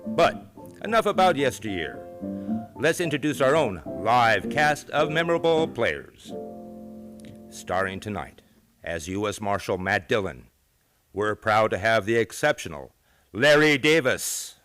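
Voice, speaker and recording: A man's voice: lowish voice, sing-song, unhurried. A man announcing in scripted old-time radio style, with grandiose, theatrical showmanship. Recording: live theater show with music.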